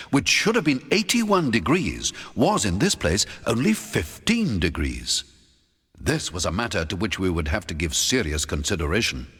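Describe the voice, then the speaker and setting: A man narrating a scripted first-person adventure audiobook in a dramatic, measured storytelling manner. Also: medium pitch, a bit bright, husky. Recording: long hall-like reverb.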